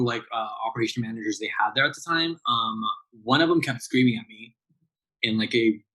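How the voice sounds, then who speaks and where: Low-voiced man in his thirties, rambling. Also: a bit bright, rough recording. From Southern California. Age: 30s. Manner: rambling, many fillers and restarts. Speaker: barista guest. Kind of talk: casual interview chat.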